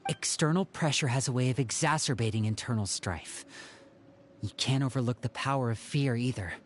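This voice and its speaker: A man playing a composed young brother and protective leader in a video game, speaking in a measured, serious way. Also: mid-range voice, slight background noise, close to an everyday voice. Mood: grave.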